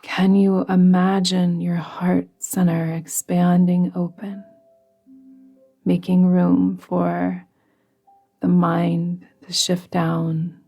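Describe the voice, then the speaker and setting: A woman guiding a yoga nidra meditation in a soft, soothing, gently instructing manner, with breathy phrase endings. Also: lowish voice, completely flat delivery, extremely slow. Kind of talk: guided meditation. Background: music.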